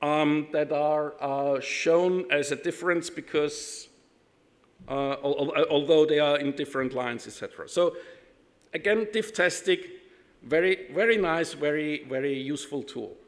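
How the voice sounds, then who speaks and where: A man from Austria with an Austrian German accent, giving a technical conference talk, halting with many ums and restarts, with dry self-deprecating humor. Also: a little high. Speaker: conference speaker.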